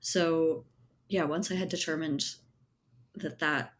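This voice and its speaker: A woman with a distinctly low voice speaks as a guest in a casual interview. She explains her thinking thoughtfully, sprinkling in "you know" and "like" as she works through each point. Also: fairly even intonation, takes it slowly. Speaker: interview guest, writer and editor. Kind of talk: casual conversational interview answers.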